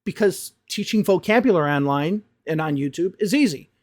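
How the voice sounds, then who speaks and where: Slightly high-voiced man, emphatic teacher. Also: brisk, dry recording, clean studio sound. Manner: emphatic, animated explaining. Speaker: English teacher reacting to a video. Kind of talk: reaction commentary.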